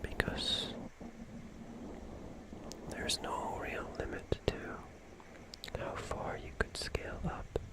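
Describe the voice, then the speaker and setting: A soft, breathy whisper carries this slow ASMR monologue. The speaker muses in short phrases with long pauses between them, reflective and unhurried throughout. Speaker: ASMR creator.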